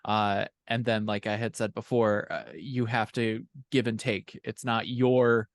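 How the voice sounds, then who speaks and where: A man's voice: on the low side, fairly even intonation, a little slow, dry recording. A man talking as an interview guest, casual and earnest as he explains, often restarting phrases. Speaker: podcast guest, fiction co-author.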